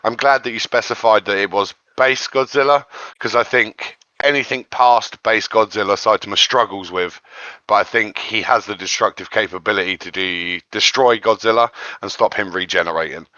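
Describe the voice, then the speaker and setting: A man speaking as a guest in a casual discussion, explaining his points in a measured, halting way over lo-fi audio. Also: fairly low pitch, sharp tone, no echo. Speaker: guest panelist.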